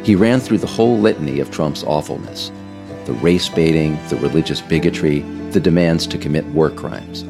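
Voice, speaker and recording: Very deep-voiced man narrating, slightly gravelly. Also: moderate pace, over music. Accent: American. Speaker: author reading own work, narrator. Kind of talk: scripted narration.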